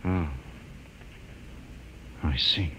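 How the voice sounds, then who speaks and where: An actor playing a mild, polite, hesitant civilian passenger aboard a spaceship in old-time radio science fiction. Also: male voice, low voice, drawn-out words, bright, forceful tone, heavily acted. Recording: muffled, noisy old radio recording.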